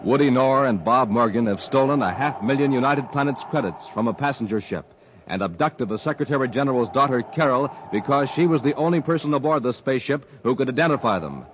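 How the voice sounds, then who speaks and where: Animated American radio announcer, muffled vintage sound. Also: male voice, medium pitch, a little fast. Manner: emphatic, punchy. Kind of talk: 1950s radio announcing, ad pitch and dramatic narration. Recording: muffled, hissy old 1950s radio recording.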